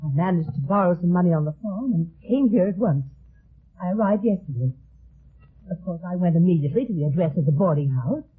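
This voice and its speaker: An actress playing a worried, earnest farm woman in a 1950s radio detective drama, speaking haltingly on a muffled old recording. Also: fairly low pitch, animated, slightly rough. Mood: distressed. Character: anxious farm woman seeking her missing brother.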